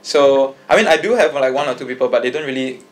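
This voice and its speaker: A man's voice: mid-range voice, fast. Easygoing young man. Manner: easygoing, hesitant, with many fillers and restarts. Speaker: singer-songwriter guest. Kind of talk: casual interview chat.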